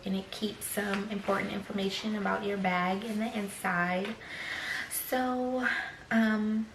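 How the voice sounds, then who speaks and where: A young woman, slightly raspy after choking on a drink, chatting slowly through an unboxing vlog with many fillers and soft whispered asides. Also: mid-range voice, a little hiss or hum. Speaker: fashion vlogger. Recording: echoey room.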